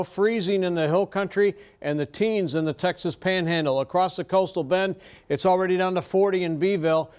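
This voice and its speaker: A man's voice: high voice, a little mellow, smooth. An American man presenting a live TV weather forecast.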